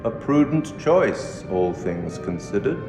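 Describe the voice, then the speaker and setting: Creepy posh-mannered curator in a horror game, slow n deepish, big reverb. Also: male voice, big pitch swings. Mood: wry, confiding. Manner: measured, ominous, wry, formal and courteous. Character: sinister, urbane male keeper of tales, coolly amused. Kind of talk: theatrical scripted game monologue. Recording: echoey long reverb, music behind. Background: orchestral music.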